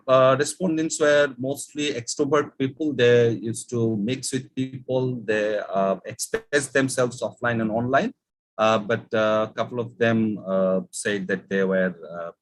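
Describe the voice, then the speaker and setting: A man, a Bangla speaker, giving an academic research presentation with many hesitant filler pauses. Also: lowish voice, poor audio. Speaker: conference presenter.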